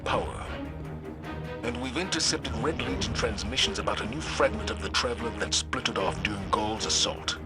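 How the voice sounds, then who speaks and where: An actor playing a stern, commanding military leader in a video game, speaking with grave authority and skepticism. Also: male voice, lowish voice, moderate pace, lightly acted. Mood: grave, resolute. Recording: loud music over the voice.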